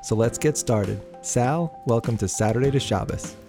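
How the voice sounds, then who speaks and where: A man's voice: medium pitch, normal speed, music in the background. A man hosting an interview podcast, opening with a polished introduction and then asking conversational questions. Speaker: podcast host.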